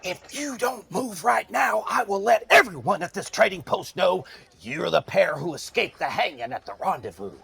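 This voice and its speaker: An actor playing a gruff, whiskey-loving escort of souls in an audio drama, sarcastic and put-upon, with a husky, gravelly voice. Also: male voice, high-pitched, lively intonation, moderate pace, sharp tone, heavily acted. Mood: urgent, threatening. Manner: sardonic, exasperated, threatening. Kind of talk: dramatic audio fiction acting.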